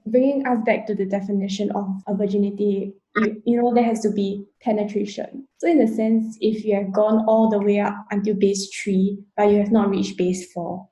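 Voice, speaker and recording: Measured, mellow Singaporean woman. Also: mid-range voice, little pitch movement, echoey room, poor audio. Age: 20s. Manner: measured, reasoned, a little formal. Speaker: panel guest. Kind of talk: casual panel discussion.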